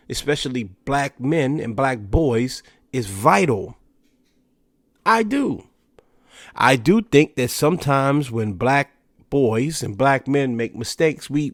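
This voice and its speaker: A man's voice: medium pitch, no room sound, studio quality. A man hosting a podcast, talking in a casual, conversational way, a little stuffy and nasal from allergies. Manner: conversational, filler-heavy with "you know" and restarts. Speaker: podcast host. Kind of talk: casual solo commentary.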